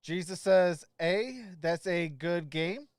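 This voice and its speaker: A man's voice: high voice, slow pace, no room sound, clean studio sound. A man hosting a live sports stream, calling plays casually and chatting informally with viewers. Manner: informal, addressing viewers by name. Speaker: livestream host doing play-by-play.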